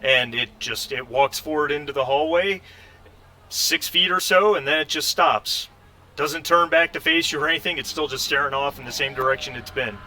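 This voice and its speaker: A man's voice: on the high side, fairly even intonation, moderate pace, bright, forceful tone, a little hiss or hum. A man talking conversationally as the game master of a tabletop role-playing game, narrating scenes and guiding players.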